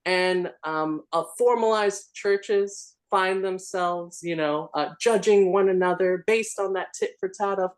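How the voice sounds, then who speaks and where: A man holding forth in a church discussion group in an animated, lecturing way, often checking in with "right?". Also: sits high, measured pace, few pauses.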